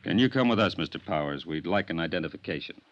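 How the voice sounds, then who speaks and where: An American actor playing a businesslike police sergeant in a 1950s radio drama, speaking in a clipped, official manner. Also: male voice, deep voice, expressive, fast. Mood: terse. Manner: clipped, official, matter-of-fact. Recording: dull, noisy vintage radio recording.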